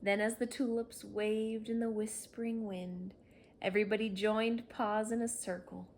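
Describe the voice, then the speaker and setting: A woman's voice: medium pitch, little pitch movement, measured pace, a little mellow, a little hiss or hum. A woman reading a children's story aloud, narrating expressively and without hurry. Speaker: storybook reader.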